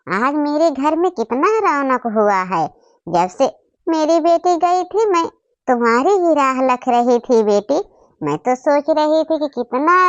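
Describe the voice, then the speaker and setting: Bossy, doting cartoon matriarch, played by a woman: high voice, commanding and fussy, very dry sound. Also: no room sound, highs cut off. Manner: fussing, commanding. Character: doting but bossy middle-aged mother and mother-in-law. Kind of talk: Hindi family comedy cartoon acting.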